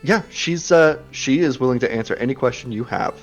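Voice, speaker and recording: A man's voice: mid-range voice, a little fast, muffled recording, faint music behind. A man running a tabletop game as game master, hesitant and full of restarts.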